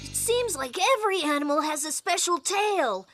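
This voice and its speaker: A woman's voice: high-pitched, expressive, moderate pace. A woman voicing a young cartoon rabbit who talks earnestly and wonders aloud, with background music. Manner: earnest, wondering, a bit self-doubting. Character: young rabbit with a bright cotton tail.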